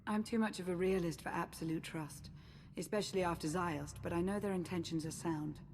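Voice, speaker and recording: A woman playing a pragmatic adviser and leader in a video game, measured, earnest and authoritative. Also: fairly low pitch, steady tone, a little mellow, natural voice. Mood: earnest, composed. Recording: reverberant game audio, faint noise.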